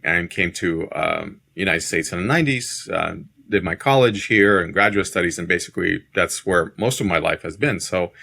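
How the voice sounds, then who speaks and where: A middle-aged man from Siberia with a Russian accent, telling his life story in a halting, reflective, conversational way as a podcast guest. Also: deep voice, vocal fry, sharp tone.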